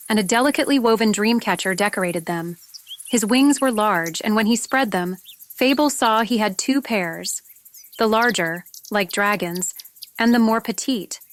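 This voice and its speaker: A woman narrating a fantasy story from a script, as an audiobook reader. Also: medium pitch. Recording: noticeable background noise with clicks, insect-like sounds.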